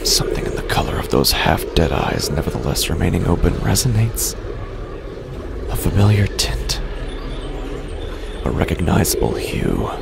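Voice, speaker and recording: A man acting a haunted gambler who narrates his own horror story in a halting, dramatic way, with long pauses and near-whispers. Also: deep voice, huge pitch swings, vocal fry, heavy background noise, full character voice. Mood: tense dread. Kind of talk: scripted first-person horror narration. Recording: music bed under the voice.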